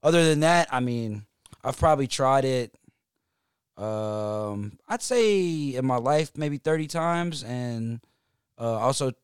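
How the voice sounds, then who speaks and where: A man from North Carolina speaking as a podcast guest, halting with lots of ums and restarts. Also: medium pitch, lively intonation, smooth, no room sound, studio quality. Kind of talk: casual interview conversation.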